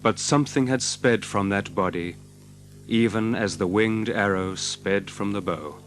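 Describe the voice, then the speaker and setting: Low-voiced man narrating a dramatic tale. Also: a little hiss or hum. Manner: expressive, measured literary delivery. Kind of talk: dramatic scripted storybook narration.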